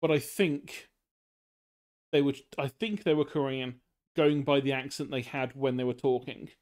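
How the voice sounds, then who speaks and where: Young male streamer, mid-pitched, casual and rambling, teaches drawing. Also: steady tone, a little slow, darkish tone. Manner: rambling, loose explanations with many fillers. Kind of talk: casual live-stream art tutorial.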